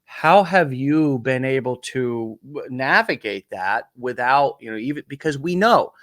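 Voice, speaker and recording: A man's voice: medium pitch, lively intonation, measured pace, a bit bright, no echo. Male podcast host, middle-aged, loose rambling delivery, dry recording. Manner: rambling, repeats words while searching for phrasing. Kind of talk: conversational interview.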